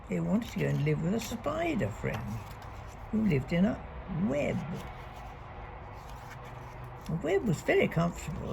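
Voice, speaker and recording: A woman reading a picture book aloud to young children, deliberately and expressively, leaning on key words. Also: sits low, gravelly, background noise. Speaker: storybook reader.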